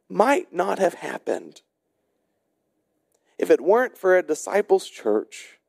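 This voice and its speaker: Higher-voiced male preacher, close dry sound. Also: vocal fry, a little mellow, no echo. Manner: emphatic storyteller, varies between brisk narration and slow dramatic phrasing. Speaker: pastor preaching. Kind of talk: sermon with storytelling and scripture exposition.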